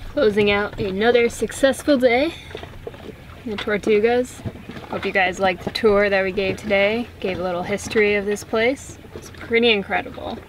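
A woman, giving a casual tour to a camera a few steps away outdoors. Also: medium pitch. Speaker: travel vlogger. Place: outdoors by the sea. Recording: muffled outdoor camera audio, heavy wind noise.

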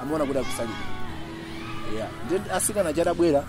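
A man's voice: fairly high pitch, animated, racing, a crowd in the background. A man in his twenties with a Malawian accent, giving an account in an outdoor documentary interview. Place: outdoors in a garden courtyard. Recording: loud music under outdoor voice.

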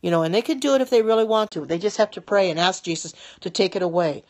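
A woman in her sixties, preaching live into a phone from a small room, earnest and pleading, with many restarts, her voice getting hoarse. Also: mid-range voice, fast. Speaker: lay preacher broadcasting live. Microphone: phone held below face.

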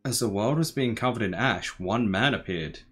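A young man giving casual, slangy reaction commentary, musing aloud in a laid-back, tired, low-energy way. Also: animated, moderate pace, breathy edge. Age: young adult. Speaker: anime reaction host. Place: small bedroom, indoors.

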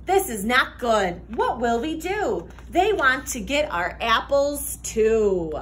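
A young woman reading a children's picture book aloud like a teacher, expressive, sing-song and deliberate. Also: on the high side, a little hiss or hum. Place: classroom, indoors.

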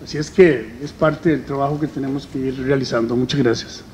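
A middle-aged man speaking on a forum panel in a conference hall, halting often with fillers. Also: mid-range voice, steady tone, heavy background noise. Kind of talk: public forum talk.

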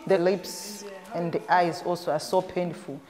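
A woman's voice: fairly low pitch, steady tone, measured pace, a little mellow. Echoey woman health worker explaining. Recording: reverberant room, long echo.

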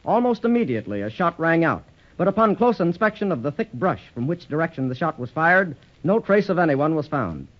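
A man's voice: fairly high pitch, sing-song, brisk. A man narrating a 1930s adventure radio serial from a script. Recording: muffled vintage 1930s radio recording.

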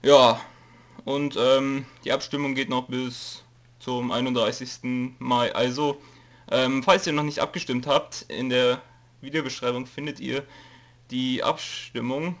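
A man's voice: mid-range voice, steady tone, measured pace, fairly bright tone. A young man who has a cold, hesitantly rambling through a casual channel update with lots of fillers and jokes. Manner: hesitant, many fillers, reads from a note, jokey. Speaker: YouTube let's player giving a channel update. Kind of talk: casual rambling info video.

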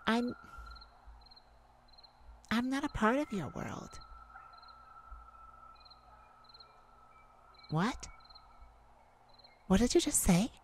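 A woman voicing a tender, wounded, lovesick vampire in an intimate ASMR roleplay, speaking haltingly and with emotion. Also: a little high, lively intonation, a little hiss or hum. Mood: distressed, bewildered. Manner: hesitant, emotional, halting with sighs and pauses.

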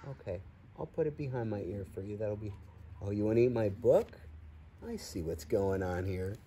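A man in his forties reading a children's story aloud outdoors, expressive, with playful asides. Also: on the low side, normal speed, slight background noise. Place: outdoors on grass in a farm field.

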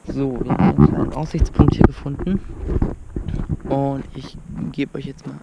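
A man's voice: mid-range voice, steady tone, moderate pace, vocal fry, lo-fi sound. A man, chatting casually for a travel vlog. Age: 20s. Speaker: travel vlogger. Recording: very noisy camera audio with clicks.

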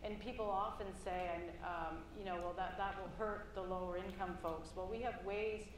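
A woman's voice: medium pitch, steady tone, normal speed. Thoughtful older woman panelist, echoey hall. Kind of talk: panel discussion. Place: conference stage, indoors. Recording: echoey hall from far off, some noise.

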